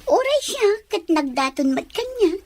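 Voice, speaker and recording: A woman's voice: very high voice, very animated, moderate pace, darkish tone. A woman playing a playful, teasing young Ilocano woman in an audio drama, with an Ilocano accent.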